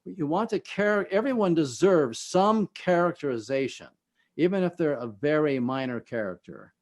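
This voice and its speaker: A man speaking as an expert guest in an interview, explaining in a halting, conversational way and often trailing off at the ends of phrases. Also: on the high side, lively intonation.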